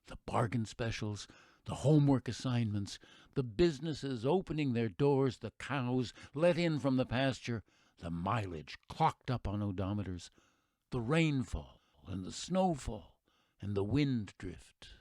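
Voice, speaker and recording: Guy playing reflective american radio narrator, mid pitched, measured patriotic monologue, very dry sound. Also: a little mellow, dry recording, close to an everyday voice. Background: music behind the voice.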